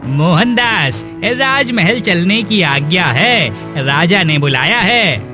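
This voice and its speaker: A man's voice: high-pitched, animated, takes it slowly. A man playing a stern royal soldier in a cartoon moral story, formal and commanding. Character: stern royal soldier delivering the king's orders. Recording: music behind the voice.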